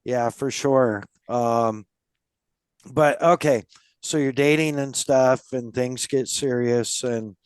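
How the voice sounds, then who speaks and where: A male podcast host talks in a loose, rambling way and keeps circling back with "um" and "you know." His voice is smooth and resonant. The recording is close and dry, with no room sound. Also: mid-range voice, dry recording. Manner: rambling, many fillers and restarts. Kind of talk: casual interview chat.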